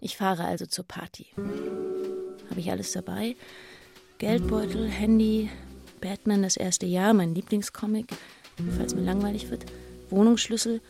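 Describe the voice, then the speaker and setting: A woman's voice: medium pitch, steady tone, a little slow, creaky, long pauses. An actress playing a shy, lonely, grieving teenage schoolgirl in an introspective, hesitant inner monologue for a radio play. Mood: hesitant, nervous. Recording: music under the voice.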